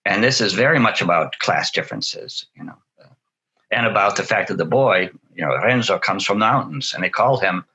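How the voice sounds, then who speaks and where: A low-pitched man with a husky, breathy edge and a forceful brightness to his tone, chatting loosely in an interview. He keeps the talk casual and full of "you know"s, and the recording sounds dull and lo-fi. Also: expressive, normal speed. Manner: chatty, leans on "you know", laughs easily. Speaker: translator and interpreter, guest. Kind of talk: relaxed conversational interview.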